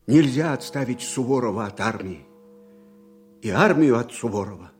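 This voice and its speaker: A man's voice: mid-range voice, expressive, moderate pace, faint music behind, natural voice. Proud old commander, acted by a man, echoing hall. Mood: resolute. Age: older. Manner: defiant, plain-spoken, emphatic. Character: proud, stubborn old Russian army commander. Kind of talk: theatrical historical radio drama acting. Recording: echoey reverberant hall.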